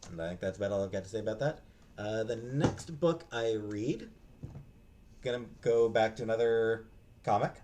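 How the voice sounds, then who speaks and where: Low-voiced man, halting casual reviewer. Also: mellow, a little hiss or hum. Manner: halting, hesitant, trailing off and restarting. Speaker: book reviewer, vlog host. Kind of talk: casual unscripted book review chat.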